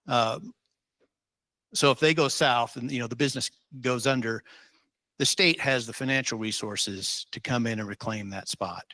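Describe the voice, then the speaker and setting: Bright-voiced official running a public meeting. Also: male voice, medium pitch, moderate pace, no room sound. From Utah. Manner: informal, rambling, with many ums. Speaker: state division official leading a public meeting.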